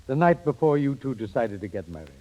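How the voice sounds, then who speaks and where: A man's voice: medium pitch, very animated, fast, dark tone, close to an everyday voice. An actor playing an earnest, plain-spoken, concerned middle-aged father in a 1930s radio drama. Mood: grave, concerned. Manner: earnest, frank, probing. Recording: dull vintage 1930s radio recording.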